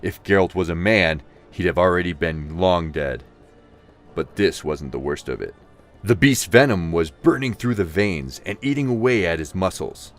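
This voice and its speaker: A man's voice: low-pitched, animated, moderate pace, soft background music. A man narrating a scripted fantasy audio drama in a dramatic storytelling style.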